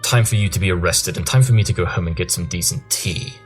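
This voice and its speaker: A man's voice: deep voice, fast, faint music behind, close to an everyday voice. A man playing a pompous pony detective in a cartoon audio drama, lecturing through his deductions. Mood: brisk, matter-of-fact. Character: brilliant, smug male pony detective. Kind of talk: scripted audio drama acting.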